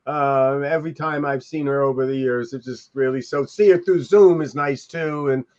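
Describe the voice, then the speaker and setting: A man's voice: medium pitch, steady tone, normal speed, darkish tone. An older man chatting loosely and ramblingly as a podcast co-host over a video call. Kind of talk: casual chat. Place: room at home, video call. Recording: dull lo-fi video-call audio.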